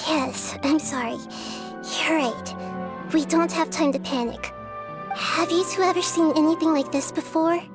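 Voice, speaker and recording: A woman voicing a wise, gentle young girl deity in a video game, speaking in an earnest, measured, articulate way. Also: high-pitched, steady tone. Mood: composed. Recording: game music behind.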